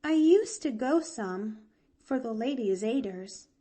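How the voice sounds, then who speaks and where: Woman voicing an eager, high-pitched young girl. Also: expressive, takes it slowly, warm tone. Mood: uncertain. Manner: gushing, breathless exclamations and pleading. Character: eager, earnest young girl, sweet and excitable. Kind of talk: audiobook character voice.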